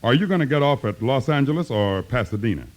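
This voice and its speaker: A man's voice: medium pitch, lively intonation, fast talker, natural voice. A man acting an easygoing, talkative train porter in a 1950s radio comedy. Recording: vintage 1950s radio recording.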